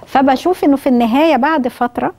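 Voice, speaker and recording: A middle-aged woman with an Egyptian accent, giving astrology advice in a talk-show studio, speaking slowly with pauses. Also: high voice, darkish tone. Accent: Egyptian Arabic. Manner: measured, explains slowly with pauses and fillers. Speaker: astrologer guest. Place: bright television studio.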